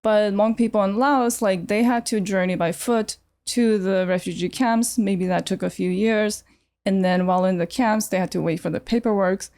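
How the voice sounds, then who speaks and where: A woman's voice: medium pitch, fairly even intonation, normal speed, darkish tone, no room sound, studio quality. A woman with a Californian accent talking casually as an interview guest, explaining things in an informal, tentative way. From United States (California). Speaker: podcast guest, artist. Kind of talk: casual conversational interview answers.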